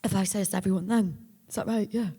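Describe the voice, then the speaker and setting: A woman with a husky voice, giving a candid, joking, off-the-cuff acceptance speech. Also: mid-range voice, fairly even intonation, fast, warm tone. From Rhyl, North Wales. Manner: candid, joking, breathless rambling. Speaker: award winner, writer and performer. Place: stage at an awards ceremony in a hall. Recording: echoey hall with long reverb.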